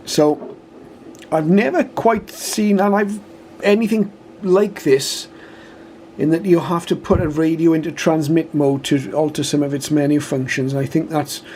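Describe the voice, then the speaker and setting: A man's voice: on the high side, steady tone, measured pace, darkish tone, slight background noise. A British man giving an informal, matter-of-fact product demonstration, explaining methodically step by step. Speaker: radio reviewer and demonstrator.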